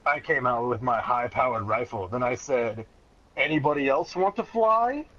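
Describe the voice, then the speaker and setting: A man's voice: medium pitch, expressive, moderate pace, sharp tone. A man reading a story aloud in a mock-serious, dramatic way, often close to breaking into laughter. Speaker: reader of a childhood story. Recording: dull lo-fi sound, clear background noise.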